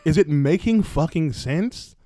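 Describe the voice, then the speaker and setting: A man's voice: fairly high pitch, expressive, a little slow, dark tone, no room sound. A man in casual podcast banter, talking in a slangy, profane, emphatic way into a close microphone. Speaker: podcast host. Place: small room at home, around a table.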